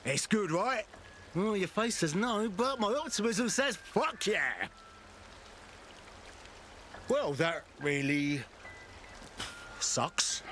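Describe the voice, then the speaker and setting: An actor playing a cocky, fast-talking male treasure hunter in a video game, boastful and cheeky like a salesman. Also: sits high, big pitch swings, fairly bright tone, slight background noise, exaggerated voice. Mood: hopeful, cheeky. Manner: boastful, wheedling salesman patter. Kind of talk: video game character acting.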